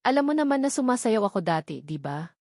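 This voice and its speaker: A woman's voice: mid-range voice, big pitch swings, fast, a little mellow, dry recording, clean studio sound. A woman with a Filipino accent voicing a devoted, anxious young mother in a narrated story.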